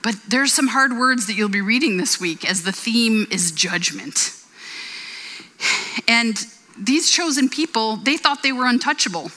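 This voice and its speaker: A woman in her forties gives an earnest, conversational sermon, mixing in personal stories. Her voice is bright and clear. It rings with the long reverb of a church hall. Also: mid-range voice. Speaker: pastor.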